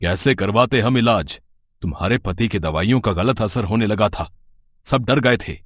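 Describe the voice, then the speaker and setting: A man's voice: lowish voice, moderate pace, a bit bright, no room sound, studio quality. A man playing a middle-aged village headman in an audio story, stern, suspicious and authoritative. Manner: scolding, authoritative. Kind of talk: dramatic story dialogue.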